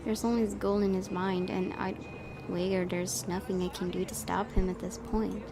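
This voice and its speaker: A woman's voice: medium pitch, level tone, normal speed, gravelly. A woman hosting a let's-play, reading a visual novel's narration aloud with dramatic storytelling delivery. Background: game music.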